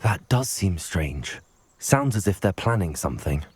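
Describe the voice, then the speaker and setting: Low-voiced schoolboy hero. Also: male voice, big pitch swings, unhurried, a little mellow, breathy edge, heavily acted. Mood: earnest. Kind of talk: video game dialogue. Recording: game music underneath. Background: game music.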